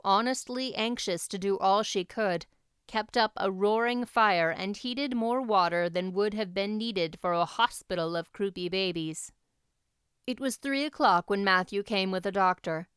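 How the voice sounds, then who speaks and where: Female audiobook narrator, smooth and crisp, slightly high pitch, dry sound, scripted literary storytelling. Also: a bit bright, no echo.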